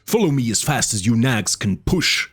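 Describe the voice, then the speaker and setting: A man's voice: medium pitch, sing-song, normal speed, fairly bright tone, slightly rough. A man reading aloud and voicing a blunt, fierce barbarian warrior who roars and bellows at a crowd. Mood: roaring exasperated. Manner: roaring, bellowing commands.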